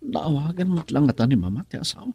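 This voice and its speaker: A man with an Ilocano accent playing a troubled married son in a radio drama, his voice gravelly and husky. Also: on the high side, sing-song, fast, warm tone, dry recording, exaggerated voice.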